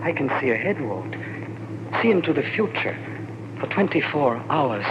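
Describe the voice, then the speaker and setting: Anxious solemn clairvoyant, hesitant, urgent, vintage radio drama, muffled, heavy noise. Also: male voice, fairly high pitch, sing-song, normal speed. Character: anxious, urgent man who foresees the future. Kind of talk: old-time radio thriller acting.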